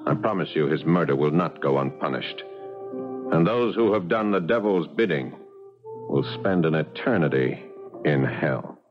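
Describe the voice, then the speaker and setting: A man's voice: rumbling low, animated, moderate pace, music in the background. An actor playing a grave, resolute man out for justice in a 1970s radio drama. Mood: grave, wary. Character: grave, resolute man seeking to avenge a murder. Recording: dull 1970s radio recording.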